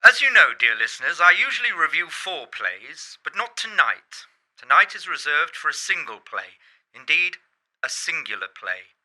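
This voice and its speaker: A man's voice: fairly high pitch, very animated, normal speed, sharp tone, full character voice, low-quality recording. A man playing a grave, effusive, pompous 1920s radio theatre critic in a radio drama. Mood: solemn admiration. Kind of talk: 1920s radio broadcast acting.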